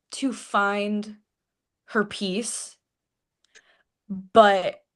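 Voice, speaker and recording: A woman guest in a casual interview, answering in a measured way. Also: mid-range voice. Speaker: writer guest.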